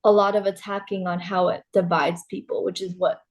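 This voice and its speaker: A woman's voice: mid-range voice, level tone, a little fast. Casual young-sounding woman interviewer. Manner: informal, uses "like" often.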